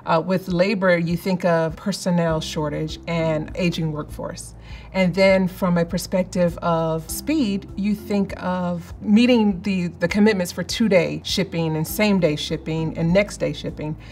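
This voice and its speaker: A middle-aged woman in a corporate interview, explaining in a measured way with some fillers. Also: fairly low pitch, steady tone, darkish tone, soft background music. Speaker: company representative. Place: office interview set, indoors.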